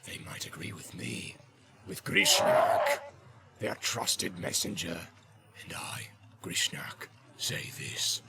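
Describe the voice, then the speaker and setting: Brief: man doing a scheming orc, low gravelly hiss, soft but evil, distant. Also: lively intonation, takes it slowly, heavily acted. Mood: sneering. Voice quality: soft, sneering, whispery. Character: sly male orc messenger, soft-voiced and evil.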